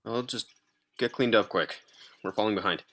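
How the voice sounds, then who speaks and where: A man's voice: lowish voice, normal speed, a bit bright. A man playing a responsible older brother and young boss in an amateur drama table read. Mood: brisk.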